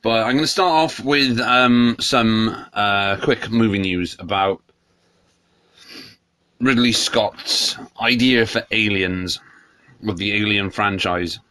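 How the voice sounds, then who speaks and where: A man's voice: on the low side, fairly even intonation, slow pace, bright, forceful tone, dry recording. Congested man ranting on films. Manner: rambling, opinionated, off the cuff. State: congested from a cold. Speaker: podcast host. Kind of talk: solo casual podcast chat.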